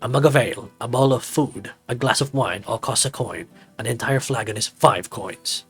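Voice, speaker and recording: A man voicing a big, gruff but kindly tiger-like tavern boss in a visual novel, confident and protective. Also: mid-range voice, normal speed, faint music behind. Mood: confident, instructive.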